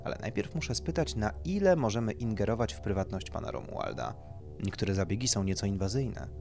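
A man's voice: lowish voice, sing-song, a little fast, gravelly, darkish tone. Man voicing tactful, clinical doctor over music. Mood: salesman-like. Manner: polite, clinical, explanatory. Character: smooth, tactful male doctor selling grisly procedures. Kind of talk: audiobook character dialogue.

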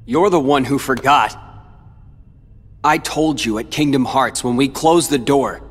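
A brooding, bitter young rival. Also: male voice, medium pitch, husky. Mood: cold. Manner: cold, taunting, slow. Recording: game audio with loud music.

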